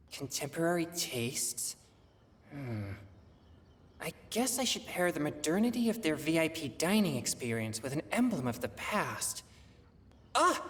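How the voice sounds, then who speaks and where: An actor playing an earnest young man who thinks out loud while composing poetry. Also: mid-range voice. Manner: earnest, slow, thinking aloud. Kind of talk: game cutscene voice acting.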